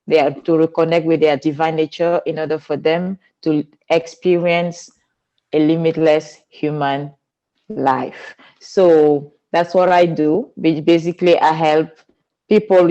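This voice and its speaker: A woman's voice: low voice, warm tone, muffled recording. Cameroonian-accented woman, earnest and flowing. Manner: earnest, flowing, non-native phrasing. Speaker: guest, spiritual teacher. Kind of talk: podcast interview.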